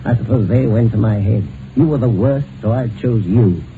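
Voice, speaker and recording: Actor as sardonic rich husband, noisy old radio. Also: male voice, fairly low pitch, moderate pace, narrow, tinny audio, lightly acted. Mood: scornful. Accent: British. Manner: sardonic, clipped, theatrical. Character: rich, bullying, cynical husband, mocking and possessive. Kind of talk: old-time radio drama acting.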